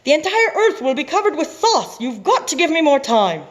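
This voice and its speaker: A woman playing a fretful male scientist in a storybook read-aloud. He talks high and jumpy, exclaiming with each idea. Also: sing-song, normal speed. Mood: worried. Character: excitable male scientist, fretful then eureka.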